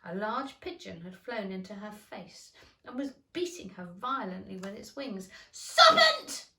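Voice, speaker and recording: A woman's voice: mid-range voice, moderate pace, creaky, fairly bright tone, recorded from a distance. A woman narrating a children's storybook expressively, like a teacher reading aloud. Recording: roomy, echoing room.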